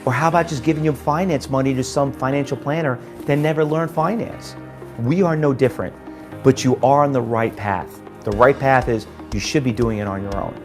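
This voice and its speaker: A man in his forties, founder of a trading school, pitching emphatically with rhetorical questions. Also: fairly quick. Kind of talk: promotional testimonial pitch. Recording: music bed underneath.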